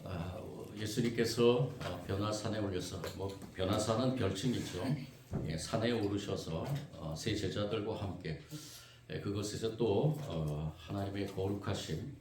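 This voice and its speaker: A man preaching a sermon as pastor, hoarse from a cold, earnest and steady with frequent filler sounds. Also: on the low side, measured pace. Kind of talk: sermon with responsive scripture reading. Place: church sanctuary. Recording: echoey church room, faint noise.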